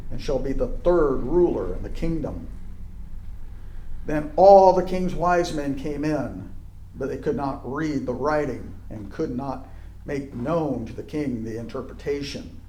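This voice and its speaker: A man reading scripture aloud in a solemn style, with deliberate, declamatory phrasing. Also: on the high side, dark tone, slight background noise. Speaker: scripture reader. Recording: echoey, roomy sound.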